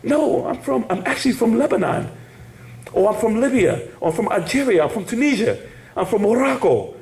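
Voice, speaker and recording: A man's voice: high voice, very animated, brisk, darkish tone, echoey room. A man teaching a casual class, rambling and laughing, often asking "you hear me?". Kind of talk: casual spoken class lecture.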